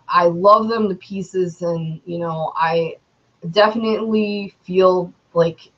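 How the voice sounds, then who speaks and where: Twenty-something woman from chatting to camera, slow and rambly, muffled lo-fi audio. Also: on the low side, a bit bright. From Metro Detroit, Michigan, USA. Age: 20s. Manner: rambling, many ums and you knows. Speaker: YouTuber. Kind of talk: casual vlog talk. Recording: dull lo-fi sound.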